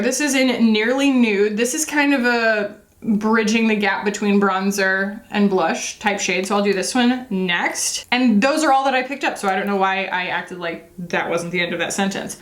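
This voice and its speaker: A woman in her thirties talks through a makeup review in a loose, rambling way, full of fillers and self-deprecating jokes. Her voice sits at an ordinary middle pitch. The room around her is roomy and echoey. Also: a bit bright. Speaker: beauty vlogger. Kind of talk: casual chatty makeup review.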